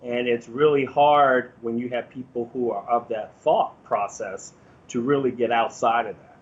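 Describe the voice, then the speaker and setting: A man's voice: mid-range voice, fairly even intonation, unhurried, highs cut off, a little hiss or hum. An American man speaking informally as an interview guest, explaining his opinions in a conversational way.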